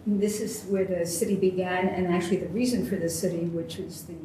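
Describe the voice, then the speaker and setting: A woman interprets consecutively, full of ums and uhs, and her phrases trail off softly. Her voice is low for a woman and mellow. She sounds far from the mic, in an echoey hall with faint hiss. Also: fairly even intonation, normal speed. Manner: hesitant, filler-heavy, trailing off quietly at phrase ends. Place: university lecture hall.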